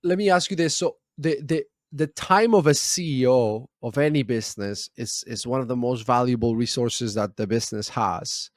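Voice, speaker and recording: A man's voice: mid-range voice, moderate pace, hardly any pauses, no echo. Podcast host man, dry close sound. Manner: long winding questions with restarts. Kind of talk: interview questions.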